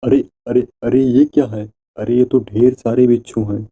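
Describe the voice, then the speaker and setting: A man's voice: medium pitch, sing-song, moderate pace, gravelly, dark tone, breathy edge, full character voice. A man voicing a greedy, mocking, scheming villager in a cartoon moral story, with a taunting, exclamatory delivery. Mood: angry, vengeful.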